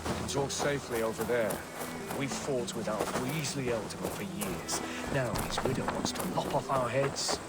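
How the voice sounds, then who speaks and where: An actor playing a blunt, defiant Saxon thane in a video game. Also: male voice, medium pitch, normal speed, over loud music, natural voice. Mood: grateful, vengeful.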